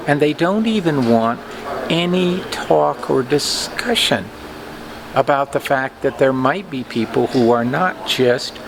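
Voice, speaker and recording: Slow-talking older man, gravelly voice. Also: mid-range voice. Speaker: comic book store owner and presenter. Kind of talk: informal vlog talk to camera. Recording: clearly audible background noise, ambient hum.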